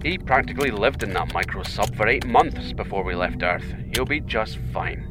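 An actor playing a steady, easygoing astronaut captain with a Scottish accent, wry and reassuring in a sci-fi audio drama. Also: male voice, on the low side, moderate pace, music in the background, close to an everyday voice.

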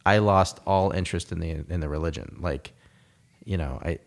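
Low-voiced man musing, full of fillers. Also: moderate pace, creaky. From United States. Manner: reflective, rambling with many fillers and restarts. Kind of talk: casual conversation.

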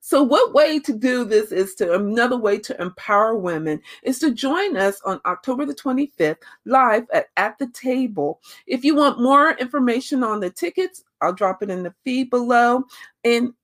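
A woman with a slightly high voice hosts a podcast in a loose, chatty way. She laughs easily and rambles through her announcements. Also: lively intonation, normal speed. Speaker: podcast host. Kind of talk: casual podcast hosting.

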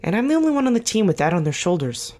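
An actor playing a weary, guarded veteran team leader in an audio drama, speaking earnestly and haltingly with dry wit. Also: medium pitch, big pitch swings, darkish tone, natural voice. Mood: frustrated, weary. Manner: earnest, halting, self-correcting, dryly wry. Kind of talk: naturalistic audio drama dialogue.

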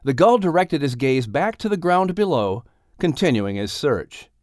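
Male audiobook narrator, very lively intonation, dry close sound, scripted storytelling. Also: on the high side, moderate pace, dry recording.

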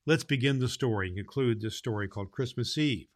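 A man hosting and reading a Christmas storybook aloud to children in an expressive way. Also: medium pitch, fairly quick. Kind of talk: storybook read aloud with informal host talk.

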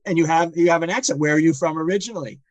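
A man's voice: a little high, rapid-fire, no room sound. A man hosting a podcast interview, repeating words as he starts his questions and remarks. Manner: warm, stammering repeats at phrase starts. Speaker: podcast host. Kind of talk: casual interview conversation.

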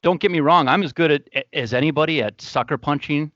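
A man's voice: fairly high pitch, fast talker, a bit bright, muffled recording. A man hosting a podcast in a casual, earnest, conversational way. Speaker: podcast host.

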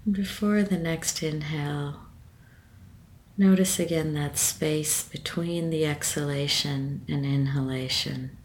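A woman leading a guided meditation with a gentle, soothing manner and measured phrasing. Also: sits low.

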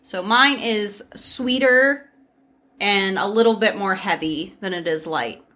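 Bright-voiced chatty woman host. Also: mid-range voice, takes it slowly. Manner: chatty, breezy, sprinkled with "like". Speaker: podcast host. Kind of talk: casual storytelling chat with friends.

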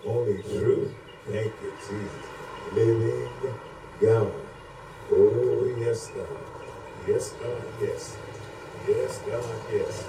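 Man, preacher, low-pitched creaky voice, fervent chanted prayer, repetitive invocations, faint background noise. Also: fairly even intonation, drawn-out words, roomy sound. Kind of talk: fervent extemporaneous prayer and exhortation.

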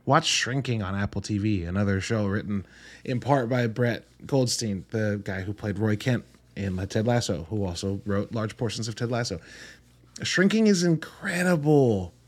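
A man with a fairly low voice hosts a film review podcast on his own. He talks in a casual, informal way, rambling and cutting into his own sentences, and leans on his points with earnest emphasis. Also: moderate pace. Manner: informal, rambling, self-interrupting. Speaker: podcast host reviewing films.

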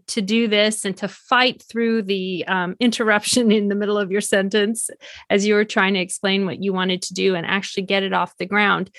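A woman hosting a podcast, affirming her guest and asking measured questions. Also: medium pitch, a bit bright, no echo, clean studio sound. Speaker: podcast host. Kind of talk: interview questions.

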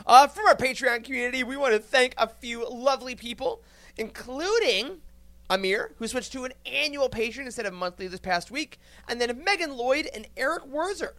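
A man hosts a podcast as casual conversation, joking and effusive. His pitch moves up and down in a very lively way. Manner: jokey, effusive. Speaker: podcast host. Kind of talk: casual upbeat chat.

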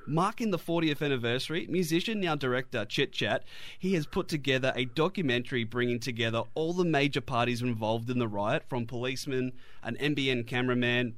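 A man with an Australian accent hosting a radio interview, chatty and a little hesitant, with fillers. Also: mid-range voice. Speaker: radio host.

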